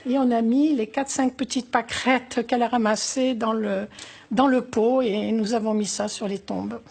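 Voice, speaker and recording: An older woman from Algeria speaks in a slightly high voice, telling her memories slowly and thoughtfully. She hesitates often and fills the gaps with small sounds. Her tone stays wistful and reflective. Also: steady tone, creaky, a little mellow, breathy edge. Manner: hesitant, many pauses and fillers. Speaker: interviewee. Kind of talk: oral history interview.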